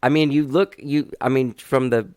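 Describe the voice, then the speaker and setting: A man's voice: mid-range voice, steady tone, normal speed, a little mellow, no room sound, clean studio sound. A man in his fifties hosting a podcast interview, laid-back and self-deprecating with frequent chuckles, talking over a video call. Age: 50s. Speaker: podcast host. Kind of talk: casual interview chat. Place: dining room full of instruments.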